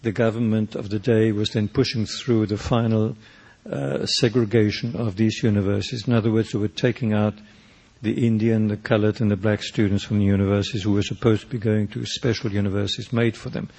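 A South African man past middle age, his native tongue Afrikaans, speaks in a low, slightly husky voice. He answers questions slowly and reflectively, circling back and restarting his sentences as he searches for words. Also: little pitch movement, a little mellow. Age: older. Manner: thoughtful, halting, many restarts and fillers. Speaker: poet and painter, interview guest.

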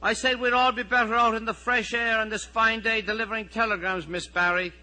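A cheeky Irish teenage boy played by a man reading a story answers in a high, bright voice. He puts on a meek politeness and protests his innocence. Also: little pitch movement, a little fast. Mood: mock meek.

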